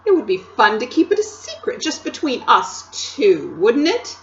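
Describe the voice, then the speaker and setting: A woman doing a sly storybook queen, coaxing and sugary, with a high voice that swoops up and down. She talks slowly and loudly, and the recording is a little dull with faint background hiss. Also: huge pitch swings, reverberant.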